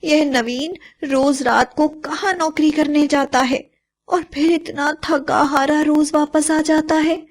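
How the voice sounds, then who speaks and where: A woman as a high-voiced, devoted sister. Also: slow pace. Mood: suspicious. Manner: emphatic, earnest, expressive. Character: protective, devoted village sister and mother of small children. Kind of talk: dramatised children's story voice acting.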